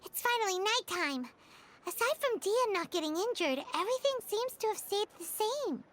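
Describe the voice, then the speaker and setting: A woman voicing an excitable, easily flustered childlike fairy companion in a video game, squeaky and exclamatory. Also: normal speed. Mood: flustered, thoughtful. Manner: speaks of self in third person, exclamatory. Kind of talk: video game character acting. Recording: music behind the voice.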